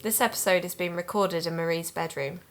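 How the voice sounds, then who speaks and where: An actress playing an earnest woman amateur sleuth who hosts an investigative podcast, narrating calmly. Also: on the low side, fairly quick, over music, natural voice. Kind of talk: audio drama narration and dialogue.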